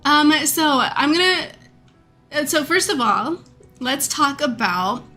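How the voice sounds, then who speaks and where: A woman in her thirties streaming a game, talking casually with a stuffed-up nasal voice and a slight croak, rambling with fillers and laughs. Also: high-pitched, lively intonation, takes it slowly, pushed and bright, faint music behind. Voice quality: a bit croaky. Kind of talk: casual chat with viewers.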